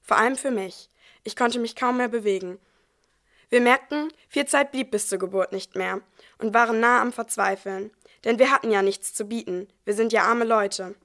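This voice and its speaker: A woman's voice: on the high side, moderate pace, a bit bright. A woman playing a poor, weary expectant mother in a scripted nativity audio play, telling it simply and earnestly. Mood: weary, worried. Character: Mary, poor, weary, heavily pregnant young woman.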